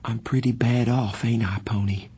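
A man voicing a badly injured, frightened sixteen-year-old street boy in an audiobook, weak and breathy, with halting, slangy speech. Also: medium pitch, steady tone, dark tone. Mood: weak, pained. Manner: halting, drawling working-class slang.

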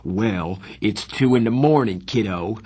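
A man voicing a stern, hot-tempered young older brother in an audiobook, scolding loudly. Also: fairly low pitch, a little slow, breathy edge. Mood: furious, scolding. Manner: loud, scolding, forceful.

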